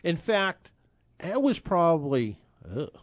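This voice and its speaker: A man in his sixties hosts a car show in a casual, rambling way, often restarting his sentences. His voice sits a little high for a man. The sound is dry and close, with no room around it. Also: sing-song, slow pace, no echo. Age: 60s. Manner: chatty, rambling with frequent restarts. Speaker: radio car show host. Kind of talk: casual radio talk.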